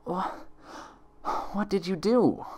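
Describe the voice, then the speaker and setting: A man's voice: high voice, takes it slowly, mellow, voice pushed up. A man voicing a frightened, straining young female unicorn pony in a story reading. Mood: strained. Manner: straining, gasping, pleading.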